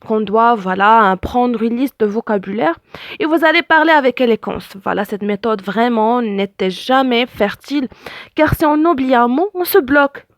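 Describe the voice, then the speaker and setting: Higher-voiced Arabic-speaking woman teaching French. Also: animated, moderate pace, no echo, clean studio sound. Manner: many fillers, repeats "voilà" often; searches for words, self-correcting. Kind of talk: spoken lesson to camera.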